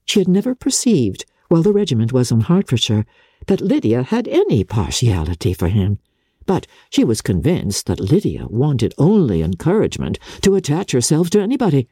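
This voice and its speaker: A woman narrating classic literature in a theatrical, formal and measured storytelling manner, with a husky voice. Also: a bass voice, wildly swooping pitch, dark tone, no echo, clean studio sound. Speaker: audiobook narrator. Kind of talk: dramatic scripted reading of classic literature.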